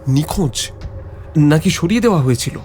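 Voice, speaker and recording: An actor playing a defiant, sharp-minded young prisoner in an audio drama, speaking with deliberate resolve. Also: male voice, fairly high pitch, animated, a little hiss or hum, natural voice. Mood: intent, analytical. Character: defiant, sharp-minded young man held in police lockup. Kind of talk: audio story acting.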